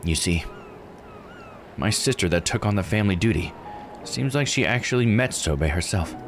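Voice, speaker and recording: A man voicing a weary, regretful woman diver in a visual novel, measured and confiding. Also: lowish voice, expressive. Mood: grave, confiding. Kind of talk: reading visual novel dialogue aloud. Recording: music under the voice. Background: game music.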